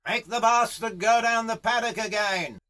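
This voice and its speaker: A man performs a gruff, goading bushman boss from a memoir. The voice rides high and swings widely in pitch. He barks blunt, sweary orders at a drawn-out, unhurried pace. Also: very animated, exaggerated voice. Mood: barking orders. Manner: loud, barking, swearing, blunt. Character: gruff, foul-mouthed Australian horse-riding boss, rough and goading.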